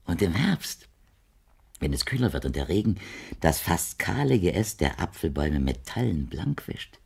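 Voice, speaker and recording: An actor playing a gentle, insistent old blind man who speaks in a flowery, poetic, persuasive way in a German radio play. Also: low voice, big pitch swings, normal speed, vocal fry, breathy edge, full character voice. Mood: dreamy, wistful. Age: older.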